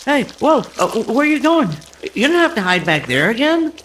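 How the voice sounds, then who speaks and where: An actor playing a mild-mannered, eccentric amateur scientist and henpecked husband in a radio drama, hesitant, stammering and earnest. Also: male voice, extremely high pitch, big pitch swings, normal speed, a bit bright, slight background noise, heavily acted. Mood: awkward, reassuring.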